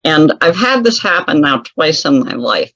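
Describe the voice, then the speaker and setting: A woman's voice: sits low, very animated, moderate pace, fairly bright tone, no echo, muffled recording. A woman telling a personal story as an interview guest, chatty and wry, laughing often at her own remarks. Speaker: guest author.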